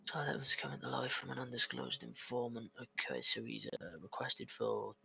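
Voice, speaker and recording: A man improvises as a lawyer in an online roleplay game. His mid-pitched voice is forward and bright with plenty of vocal fry. The microphone sounds a little dull and lo-fi, with faint noise and clicks. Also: big pitch swings, normal speed. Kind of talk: improvised in-character game dialogue.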